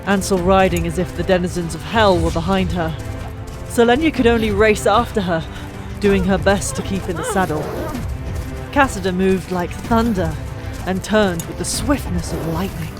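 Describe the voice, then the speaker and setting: A woman's voice: on the low side, moderate pace. A woman narrating a fantasy audiobook in a dramatic, theatrical storytelling style. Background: music.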